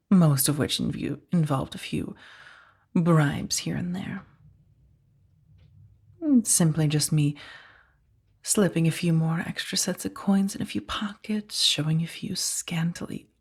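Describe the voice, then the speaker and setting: A woman playing a powerful, coolly amused mafia boss in a soft-spoken ASMR roleplay, unhurried and condescending, with a breathy, husky voice. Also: lowish voice, full character voice. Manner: unhurried, velvety menace with condescending endearments.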